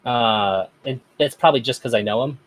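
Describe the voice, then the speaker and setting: A man's voice: medium pitch, a little fast, low-quality recording. A man hosting a casual discussion, speaking hesitantly with many fillers. Strangers tend to hear his voice as feminine. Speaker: host.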